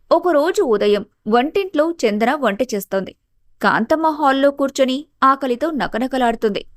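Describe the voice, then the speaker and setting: A woman's voice: high-pitched, dry recording, studio quality. A woman giving scripted narration of a family story.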